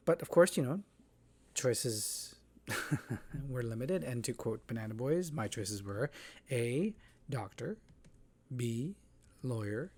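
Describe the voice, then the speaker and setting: A man giving a reflective spoken monologue as a theatre artist, talking thoughtfully with many pauses, fillers and restarts. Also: medium pitch, husky. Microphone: voice-over, face not shown.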